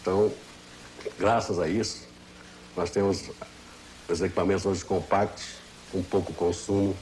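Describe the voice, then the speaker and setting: A man's voice: low-pitched, flat delivery, normal speed, darkish tone, low-quality recording. An older Brazilian man, a veteran engineer, giving a detailed, didactic technical explanation in an interview. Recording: faint noise, old TV broadcast.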